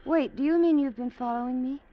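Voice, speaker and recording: An actress playing a mysterious, alluring young femme fatale in an old radio drama, soft and coy. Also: female voice, high voice, brisk, natural voice. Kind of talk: 1940s-50s radio drama acting. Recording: dull, noisy old radio recording.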